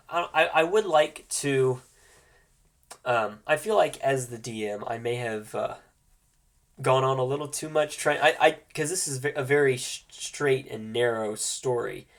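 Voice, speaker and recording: A man with a mid-range voice, stuffed up and slightly nasal from a cold, hosts a tabletop roleplaying session as game master. He explains in an informal, halting way, finding his words as he goes. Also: medium pitch, fairly bright tone. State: congested from a cold.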